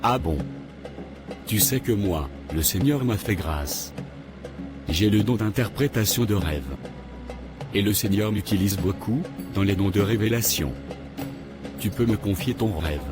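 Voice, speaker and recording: A man's voice: lowish voice, lively intonation, a little slow, pauses often, music in the background. A man playing a self-assured older church brother. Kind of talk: animated cartoon voice acting.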